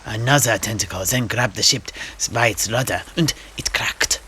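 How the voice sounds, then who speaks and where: A man playing a genial old-world seafarer who recounts his grandfather's voyages in measured storyteller's phrasing. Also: fairly low pitch, pushed and bright, a little hiss or hum. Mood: dramatic. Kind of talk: in-character fantasy storytelling. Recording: music playing under the voice.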